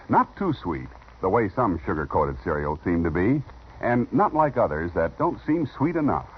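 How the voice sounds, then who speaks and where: An American male announcer in vintage radio style. His lowish voice swoops dramatically in pitch and has a very rough, raspy edge. The broadcast recording is muffled. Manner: emphatic salesman delivery. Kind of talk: 1950s radio announcing, scripted.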